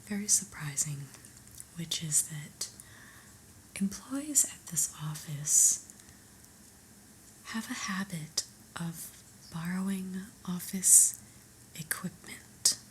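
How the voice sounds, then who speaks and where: A woman playing an unimpressed, politely corporate new manager in a soft, whispered ASMR roleplay, with a measured, dryly disapproving manner. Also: deep voice, a little hiss or hum, poor audio.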